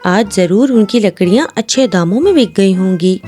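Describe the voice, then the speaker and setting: A woman's voice: mid-range voice, expressive, normal speed, warm tone, pitched below the performer's own voice, natural voice. A woman voicing a worried, poor village mother in a cartoon story, over music. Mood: soothing.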